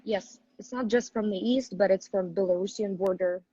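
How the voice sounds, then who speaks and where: A woman's voice: medium pitch, normal speed, dark tone, husky, no room sound, lo-fi sound. A young woman from Ukraine, a native Ukrainian speaker, earnestly explaining things step by step as the guest of a live online interview. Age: young adult. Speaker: activist guest.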